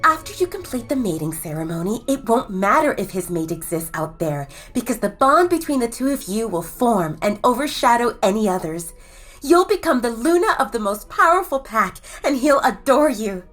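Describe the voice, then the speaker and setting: A woman's voice: a little high, very animated, moderate pace, fairly bright tone, faint music behind. A woman playing an encouraging young female best friend in an audio romance drama, speaking in an emphatic, coaxing way. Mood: reassuring.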